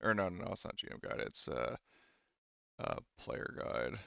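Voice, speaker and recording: A man running a tabletop role-playing game as game master, casual and halting as he thinks aloud. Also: extremely low pitch, huge pitch swings, vocal fry, fairly bright tone, dry recording. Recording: dull, lo-fi sound.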